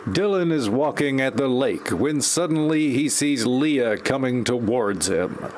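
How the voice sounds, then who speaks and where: Narrator for parody audio drama: male, medium pitch, dry and deadpan. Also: unhurried, a little hiss or hum.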